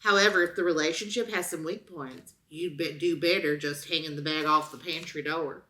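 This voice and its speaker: Bright-voiced Southern woman, dry comic reader. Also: sits low, steady tone, normal speed. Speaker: comedy show co-host. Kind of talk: reading product reviews aloud with casual banter.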